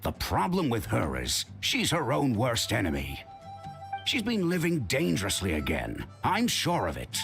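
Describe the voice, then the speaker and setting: A man's voice: medium pitch, wildly swooping pitch, measured pace, vocal fry, fairly bright tone, full character voice. A man acting a worried, protective middle-aged father in a video game, earnest and somewhat hesitant. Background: game music.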